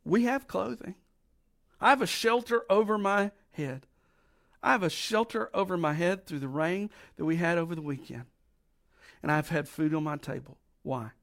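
A middle-aged man with a raspy voice from allergies, preaching a folksy, emphatic sermon with slow drawn-out stresses. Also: on the high side, fairly bright tone. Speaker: pastor.

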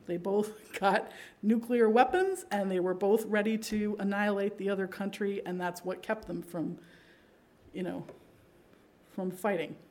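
A middle-aged woman preaching a sermon, her pitch sitting in an ordinary middle range. She talks to the congregation in a conversational way and keeps asking whether they follow. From Pakistan. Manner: conversational, rhetorical tags.

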